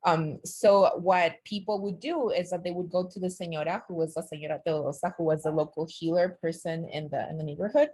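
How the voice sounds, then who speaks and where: A woman from Peru speaks as a guest at an online talk, her voice sitting a little low for a woman. She talks in a loose, searching way, trailing off and correcting herself as she answers audience questions. Her voice comes through a computer mic on a video call. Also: fairly even intonation, fairly quick, hardly any pauses, darkish tone, husky. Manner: conversational and searching, many fillers and self-corrections.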